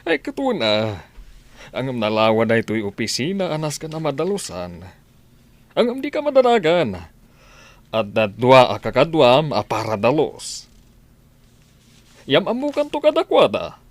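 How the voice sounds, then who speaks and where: Comedy radio drama: kindly male boss, played by a man, unhurried drawl, animated intonation. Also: medium pitch. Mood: measured, kindly. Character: genial, deliberate male office boss.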